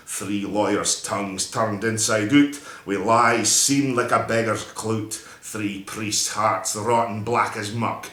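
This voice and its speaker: A man's voice: low-pitched, pushed and bright, echoey room. A man with a Scottish accent giving a theatrical, emphatic recitation of a narrative poem in broad Scots dialect. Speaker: poetry reader.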